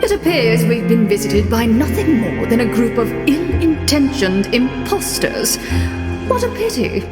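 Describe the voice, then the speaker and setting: A woman's voice: fairly high pitch, big pitch swings, unhurried, pitched above the performer's own voice. A woman voicing a scheming male prime minister in a fantasy game, formal, condescending and insinuating. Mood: haughty, threatening. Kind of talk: video game fantasy voice acting. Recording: echoey long reverb, music behind.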